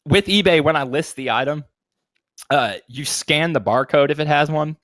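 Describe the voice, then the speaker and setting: A man's voice: fairly high pitch, moderate pace, fairly bright tone, no room sound. A man in his thirties chatting casually as a podcast co-host, informal and swearing freely with lots of dude slang. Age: 30s. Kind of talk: casual chat.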